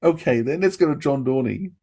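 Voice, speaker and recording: A man's voice: fairly high pitch, lively intonation, a little fast, warm tone, slightly rough. A man hosting a podcast, talking casually as a fan and interviewer. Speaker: podcast host.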